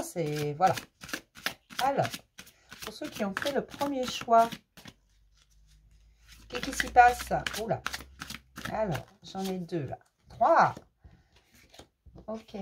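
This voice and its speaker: A French woman with a cold, doing a casual tarot card reading for her viewers, gentle and hesitant, with many fillers and restarts. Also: mid-range voice, background noise. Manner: affectionate toward viewers. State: has a cold.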